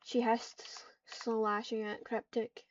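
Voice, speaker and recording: A woman reading out roleplay narration and dialogue in a halting way, with stumbles and repeats. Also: a little high, level tone. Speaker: online roleplayer. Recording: muffled, distant microphone.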